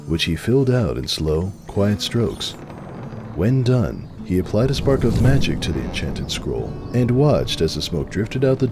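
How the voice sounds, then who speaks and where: A man narrating a story dramatically from a script. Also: low voice. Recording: clearly noisy, storm ambience behind.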